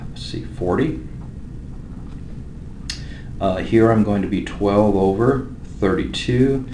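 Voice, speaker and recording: A man with a very low voice speaks slowly as he teaches, explaining each step of a math problem. He pauses often as he works. The room adds some echo, with faint noise behind him. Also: darkish tone. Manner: measured, with pauses while writing. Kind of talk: instructional math lesson, working through problems step by step.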